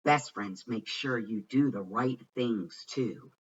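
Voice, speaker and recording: A man giving an expressive read-aloud of a rhyming children's picture book, with deliberate, dramatic phrasing. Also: mid-range voice, husky. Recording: muffled, distant microphone.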